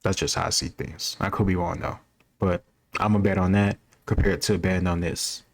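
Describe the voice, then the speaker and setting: A man with a very deep voice talks casually as a reaction video host. His intonation stays quite flat as he reasons aloud and shares opinions in an unscripted way. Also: normal speed, gravelly, slight background noise. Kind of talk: casual off-the-cuff commentary.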